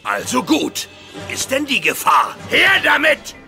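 A doddery old cartoon superhero, gravelly and high for a man. He speaks slowly and bombastically, with big swings in pitch, like someone forgetting what he was about to do. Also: wildly swooping pitch, bright, forceful tone, faint music behind, heavily acted. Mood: alarmed, blustering. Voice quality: creaky old man's voice. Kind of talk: German cartoon audio drama acting.

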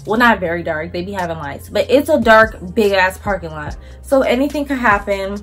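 A woman's voice: mid-range voice, animated, moderate pace, a bit bright, faint music behind. Chatty, slangy young woman vlogger. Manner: chatty, slangy and blunt, with swearing. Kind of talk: casual chat to camera.

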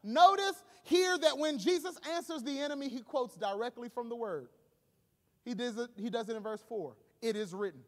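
High-pitched male preacher in a reverberant hall. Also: animated, moderate pace, a bit bright. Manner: conversational, emphatic, humorous asides. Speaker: pastor. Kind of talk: animated sermon to a congregation. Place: large stage in an auditorium. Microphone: handheld microphone.

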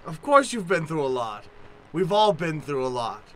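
An actor playing an eccentric professor, speaking in a theatrical, grandiloquent way in an improvised radio play. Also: male voice, a little high, very animated, normal speed, rough recording. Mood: philosophical, theatrical. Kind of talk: improvised old-time radio play acting.

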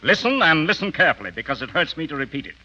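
A man's voice: a little high, very animated, very fast, bright, forceful tone, heavily acted. An actor playing an exasperated middle-aged rich father in a 1930s radio comedy, blunt and sardonic. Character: exasperated, blustering middle-aged wealthy family patriarch. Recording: dull old 1930s radio recording.

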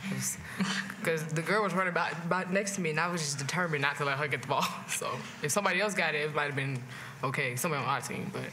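A woman's voice: deep voice, fast, hardly any pauses, background noise. A young woman basketball player answering postgame questions casually, with lots of "like", into a table mic. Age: young adult. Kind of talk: postgame press conference answers. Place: press conference room.

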